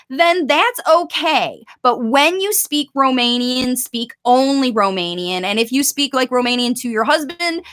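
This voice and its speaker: A woman's voice: on the high side, fairly bright tone. A woman coaching parents on language learning in a chatty, upbeat live-stream Q&A, reading out viewers' questions as she goes.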